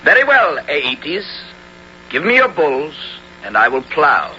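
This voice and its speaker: An actor playing a bold young Greek hero and sea captain, declaiming and commanding in radio drama style. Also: male voice, a little high, wildly swooping pitch, takes it slowly, pushed and bright, heavily acted. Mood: cool resolve. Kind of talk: 1970s radio drama acting. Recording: noisy, dull old radio recording.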